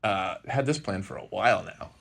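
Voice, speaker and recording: Low-voiced man telling a halting casual story. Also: vocal fry, a bit bright. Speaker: podcast host.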